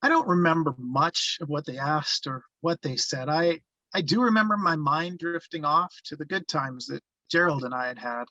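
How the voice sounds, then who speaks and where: A man plays a reflective character narrating memories of youth in a drama script. The voice sits a little high for a man, with a forceful bright edge. The sound is close and dry. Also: lively intonation, normal speed, no echo, dull audio. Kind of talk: scripted first-person narration.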